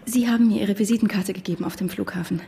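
An actress playing a distraught, insistent wife who also narrates in a German radio drama. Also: female voice, medium pitch, fast, vocal fry, warm tone, a little hiss or hum, close to an everyday voice. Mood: urgent, insistent. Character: distraught, insistent wife facing an impostor husband. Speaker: lead actress and first-person narrator.

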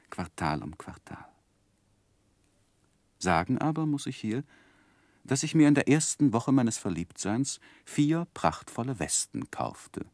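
A man acting an earnest, lovestruck young gentleman who recalls his youth in formal, gently self-mocking in a 1960s radio drama. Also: medium pitch, no room sound, studio quality. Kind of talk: literary first-person narration, 1960s German radio drama.

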